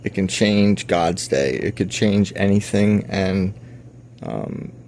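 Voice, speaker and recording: Man, low mellow voice, slow and halting, earnest personal diary monologue. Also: slight background noise. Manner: halting, with many restarts and fillers; earnest and confessional. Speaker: personal audio diarist.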